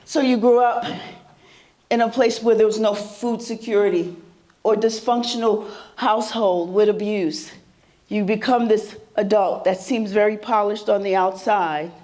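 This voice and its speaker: A woman's voice: medium pitch, steady tone, unhurried, darkish tone. A woman presenting a workshop to a live audience, talking conversationally with a soothing voice and regularly asking the room questions. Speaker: presenter at a mental health symposium.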